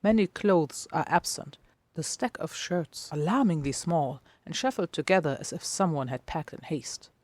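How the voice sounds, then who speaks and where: Husky, hushed dramatic story narrator. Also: medium pitch, dry recording. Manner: hushed, deliberate, often dropping to a whisper. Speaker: podfic reader and writer.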